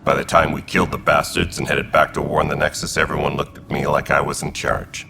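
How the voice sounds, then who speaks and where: A deep, gravelly militia commander in a sci-fi game, blunt and steady, over game noise. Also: male voice, fairly quick. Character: male Turian militia commander, old military family.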